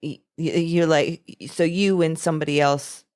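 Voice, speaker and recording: Woman co-host, low voice, frank and affirming, dry close sound. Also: fairly even intonation, moderate pace, darkish tone, dry recording. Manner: frank, affirming with frequent mm-hmms. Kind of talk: casual chat.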